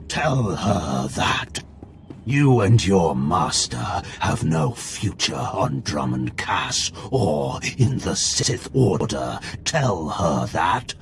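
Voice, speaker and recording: An actor playing a menacing half-machine dark lord in a video game, threatening and contemptuous, with a rattling, gravelly voice. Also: male voice, lowish voice, slight background noise, exaggerated voice. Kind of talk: video game dramatic acting.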